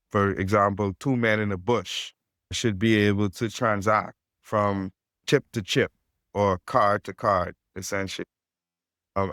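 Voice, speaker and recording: A man with a Caribbean accent, a fintech co-founder speaking as a podcast guest in a steady, businesslike way. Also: smooth, no echo, clean studio sound. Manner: steady, businesslike pitch with stacked phrases. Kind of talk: podcast interview.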